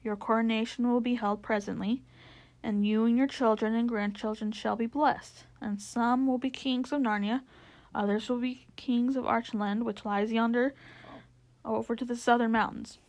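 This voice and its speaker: Solemn kingly lion from a storybook woman doing the voice, grave and formal, measured lines. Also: fairly high pitch, steady tone, a little mellow, natural voice. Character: wise regal male lion king-maker, solemn.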